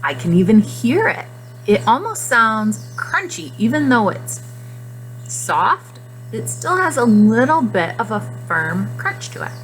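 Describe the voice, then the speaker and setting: A woman teacher speaking to young children in simple, expressive phrases during a guided reading lesson. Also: fairly high pitch, measured pace, soft background music, low-quality recording. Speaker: classroom nutrition teacher.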